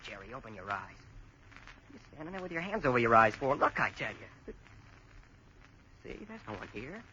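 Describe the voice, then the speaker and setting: A brash, bright-voiced young American. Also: male voice, mid-range voice, big pitch swings, normal speed, slight background noise. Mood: urgent. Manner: blunt, urgent, slangy. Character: brash young American man, blunt and impatient. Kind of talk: 1940s radio drama acting. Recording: dull lo-fi 1940s radio broadcast.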